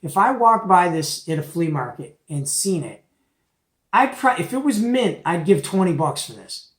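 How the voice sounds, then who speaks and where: Man, middle-aged, higher pitch, folksy, opinionated, casual product demo, echoey room. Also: sing-song, normal speed. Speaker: host of a CB radio show-and-tell. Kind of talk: casual product show-and-tell chat. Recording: echoey, roomy home recording.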